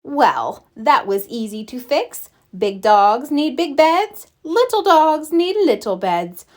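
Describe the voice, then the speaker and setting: A woman reads a bedtime story aloud for small children. Her voice is fairly high and very lively in pitch, and she goes very slowly, shaping each phrase with deliberate expression. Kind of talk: bedtime picture-book read-aloud.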